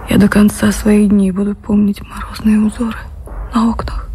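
An actress playing an anxious, devoted gentlewoman in love, speaking earnestly in refined, wistful radio drama style. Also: medium pitch, slight background noise, close to an everyday voice. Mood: sorrowful, hushed. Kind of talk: Russian radio drama acting.

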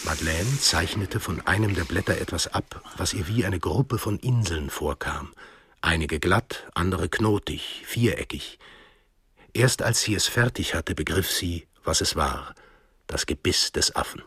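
A man's voice: deep voice, expressive, a little slow, a bit bright, husky, no echo. A man narrating a scripted radio drama as a storyteller.

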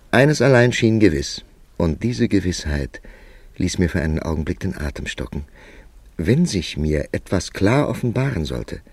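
Brooding writer in a german radio drama, real slow n crackly low voice, measured. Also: male voice, a little mellow, heavily acted. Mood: pondering, intense. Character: thoughtful male writer narrating his own puzzling encounter. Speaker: radio play lead and narrator.

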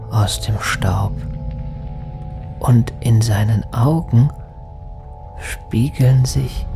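An actor playing a dreamy lost spirit in a radio play, speaking in hushed, halting, trance-like fragments. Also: male voice, mid-range voice, creaky. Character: dreamy male spirit, a wandering lost soul. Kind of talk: poetic radio play monologue. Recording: studio radio drama with music underneath. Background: music.

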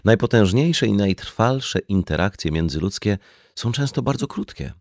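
Low-voiced man reading an audiobook. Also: animated, a little slow, no echo, studio quality. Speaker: audiobook reader. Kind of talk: scripted audiobook narration in a conversational format.

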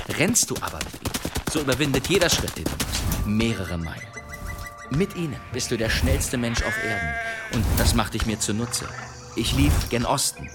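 A man in a German radio play acting a self-assured adventurer who tells tall tales in florid, measured storytelling. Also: fairly low pitch, background noise. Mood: dramatic boasting.

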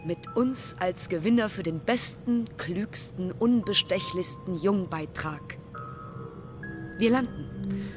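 An actress in a radio play as an earnest, insistent young woman with deliberate, precise phrasing. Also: fairly low pitch. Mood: dry, matter-of-fact. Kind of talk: German radio play dialogue and recitation. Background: music.

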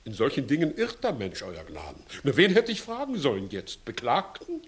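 An actor playing an evasive, flustered village judge who swings theatrically between bullying and coaxing in a classic German radio comedy. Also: male voice, medium pitch, wildly swooping pitch, normal speed. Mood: flustered, muttering. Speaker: judge in a courtroom.

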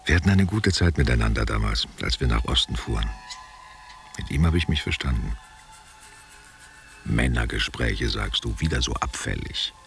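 An old veteran of stage and war in a radio play. His voice is extremely low and creaky, swinging widely in melody. He talks tenderly and a little condescendingly to someone close, lost in memory. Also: expressive, normal speed, pauses often, fairly bright tone, faint music behind, exaggerated voice. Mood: reminiscing. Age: older. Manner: musing, rhetorical questions, intimate address. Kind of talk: literary radio play monologue.

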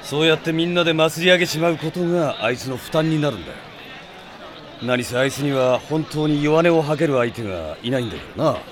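An actor playing a rough but caring mercenary in a Japanese radio drama, blunt, casual and wry. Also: male voice, fairly high pitch, animated, fast talker, slight background noise, natural voice. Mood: serious, concerned.

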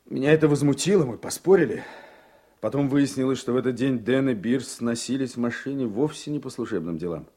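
An actor playing an earnest, emotional political aide in a radio play, with a slightly husky voice. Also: male voice, medium pitch, fairly quick, warm tone, close to an everyday voice. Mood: indignant.

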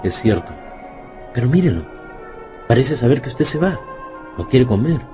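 An actor playing a respectful, plain-spoken helper in a radio drama, earnest and deferential. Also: male voice, mid-range voice, big pitch swings, normal speed, dark tone, soft background music, lightly acted. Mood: worried.

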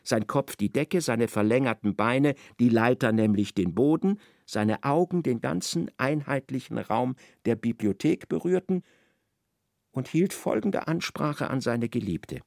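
A man reads literary narration for a radio play with dramatic expression. His medium-pitched voice moves widely up and down and often drops into a creak. The sound is dry and close. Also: expressive, measured pace, darkish tone, no echo.

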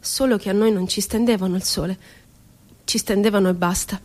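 A woman's voice: medium pitch, steady tone, a little fast, darkish tone, close to an everyday voice. An actress playing an ironic, wounded woman poet confined in an asylum, telling her story expressively in a radio theatre monologue. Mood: wry, mock-innocent.

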